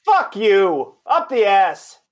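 A man's voice: high voice, sing-song, slow pace, exaggerated voice. An actor in a mystery audio play, playing an impatient, blunt undercover policeman who poses as a buyer. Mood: exasperated. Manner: blunt, exasperated, demanding. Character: impatient, foul-mouthed male undercover cop posing as buyer.

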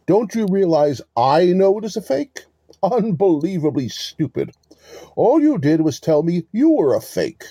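A sly male swindler in a mystery audio play, acted by a man. His voice is very dark and husky, and it swings freely in pitch. He speaks with measured, formal condescension, as if always holding something back. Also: sing-song, dry recording, exaggerated voice. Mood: smug, scornful. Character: wily, devout-acting male relic con artist.

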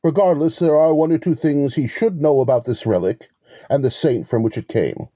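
Man acting mellow, husky, wily con artist. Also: on the high side, dry recording, studio quality, close to an everyday voice. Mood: cold, threatening. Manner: measured, formal, evasive, condescending. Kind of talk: two-person mystery audio play.

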